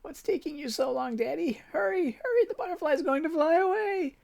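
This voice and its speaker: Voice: lively intonation, fairly quick, creaky, darkish tone. A reader voicing a dying young woman who is delirious and pleads in a childlike, tearful voice. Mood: delirious pleading. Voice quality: high, tearful, calling out. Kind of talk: audiobook character voice.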